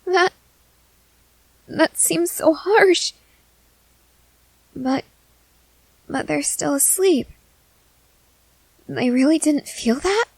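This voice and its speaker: A woman playing a timid, gentle newly turned vampire in an ASMR roleplay, speaking hesitantly and haltingly. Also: high-pitched, no room sound, full character voice, poor audio. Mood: uneasy, squeamish. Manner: hesitant, halting, soft-hearted.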